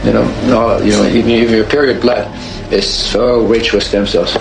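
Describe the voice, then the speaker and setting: A man in casual conversation, speaking in a halting, repetitive, rambling way on a noisy, echoey recording. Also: lowish voice, fairly even intonation, creaky, music in the background.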